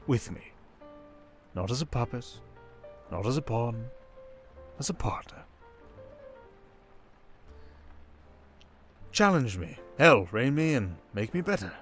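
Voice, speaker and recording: A man playing a suave, cunning villain in an intimate ASMR roleplay, speaking in a silky, theatrical, teasing way with deliberate pauses. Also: mid-range voice, very animated, soft background music. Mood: seductive, persuasive. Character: suave, cunning male criminal mastermind villain, seductive and teasing.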